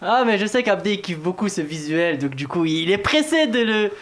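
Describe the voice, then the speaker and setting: A man's voice: high-pitched, big pitch swings, moderate pace, music in the background. A man hosting a football talk show, presenting topics and teasing his panel in an informal way.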